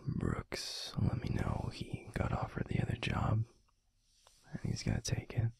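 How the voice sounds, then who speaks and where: A man playing a gentle, protective werewolf boyfriend in an intimate audio roleplay, murmuring softly and soothingly with a breathy, gravelly voice. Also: whispered, natural voice. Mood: subdued, matter-of-fact. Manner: slow, soothing, unhurried. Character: gentle, protective adult male werewolf boyfriend, pack leader.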